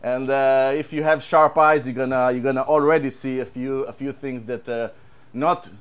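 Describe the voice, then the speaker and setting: A man with an Israeli accent, a guitar tech, talking casually and haltingly, repeating words and using many fillers. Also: medium pitch, steady tone, smooth. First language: Hebrew. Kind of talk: casual show-and-tell chat.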